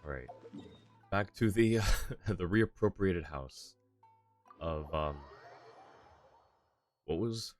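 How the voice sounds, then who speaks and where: Deep-voiced man chatting over a game. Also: expressive, slow pace, long pauses, soft background music. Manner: chuckling, rambling asides. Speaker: streamer. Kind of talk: casual live game commentary.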